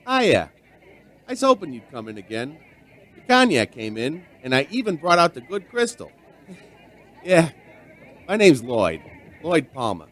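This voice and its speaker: Animated folksy barman telling a strange tale, played by a man. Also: on the high side, a little slow, long pauses, a faint crowd, exaggerated voice. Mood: friendly. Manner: folksy, rambling, conversational. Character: chatty veteran barman spinning an uneasy mystery tale. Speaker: narrator and lead character. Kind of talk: folksy radio drama storytelling. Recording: music behind the voice.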